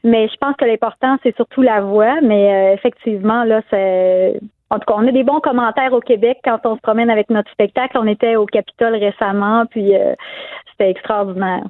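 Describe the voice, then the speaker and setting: A woman's voice: on the high side, steady tone, brisk, dry recording. A woman singer from Quebec with a Saguenay Québécois accent, answering interview questions in a friendly, hesitant way with many fillers. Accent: Saguenay Québécois French. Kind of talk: casual radio interview. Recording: tinny phone line.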